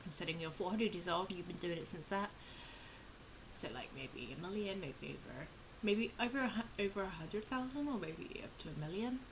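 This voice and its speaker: A woman hosting a game playthrough, commenting casually and reading text aloud in an informal, halting way. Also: lowish voice, animated. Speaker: gamer, channel host. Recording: clear background noise with game audio.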